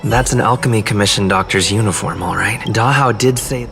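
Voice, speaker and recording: A man voicing a composed, shrewd investigator in a video game, measured and musing as if to himself. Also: fairly low pitch, music in the background, natural voice. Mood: pensive. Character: shrewd, composed male investigator piecing clues together.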